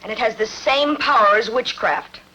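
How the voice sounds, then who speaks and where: A woman acting a proud, scheming queen in a dubbed historical epic, solemn and measured in her dramatic delivery. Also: on the high side, lively intonation, bright, forceful tone, slightly rough, exaggerated voice, poor audio. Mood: ominous.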